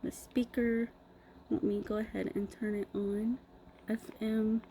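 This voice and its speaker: A woman reviews a product in a casual, off-the-cuff manner. Her voice sits fairly high and she speaks slowly, often pausing mid-thought. Steady background noise is clearly audible around her. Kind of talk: casual unboxing review, improvised. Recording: clearly noisy background ambience.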